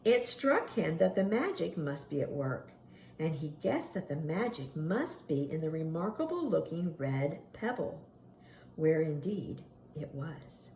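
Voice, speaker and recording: A woman reading a children's picture book aloud in an expressive, dramatic storytelling manner meant for young children. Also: low voice, unhurried. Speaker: storybook reader. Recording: echoey room with faint background noise.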